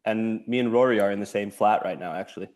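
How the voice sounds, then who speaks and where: A man's voice: lowish voice, quick pace, darkish tone. A young man from Finland raised in the US, introducing himself hesitantly on a video call. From born in Finland, raised in the US. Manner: hesitant, lots of ums. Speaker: master's student. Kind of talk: self-introduction in online meeting.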